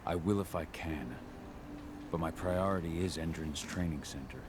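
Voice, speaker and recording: A brooding werewolf warrior in a video game, with an extremely deep, gruff voice. He speaks tersely and earnestly, with clear ambient noise behind him. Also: normal speed. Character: brooding, guilt-ridden male werewolf warrior. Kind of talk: video game cutscene acting.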